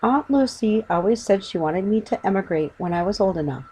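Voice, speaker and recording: A woman's voice: mid-range voice, expressive, a little fast, warm tone, a little hiss or hum, close to an everyday voice, poor audio. A woman voicing a small, polite young male bear in a children's story, speaking formally and earnestly. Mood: earnest, confiding. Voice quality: small, clear voice.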